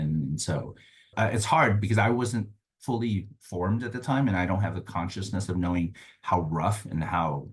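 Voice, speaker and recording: A man in his fifties speaks with a very low, husky voice. He tells his story as an interview guest, reflective and measured, pausing now and then to find his words. He talks as he would to a podcast host. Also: a little mellow. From United States (Denver). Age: 50s. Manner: reflective, measured, with some hesitations. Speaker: guest, nonprofit CEO.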